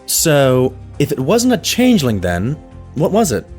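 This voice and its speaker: Man as an animated, exasperated pony sidekick. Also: medium pitch, unhurried. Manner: skeptical, dry, questioning. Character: sensible, exasperated male pony sidekick of detective. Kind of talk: animated fan drama acting. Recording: music under the voice.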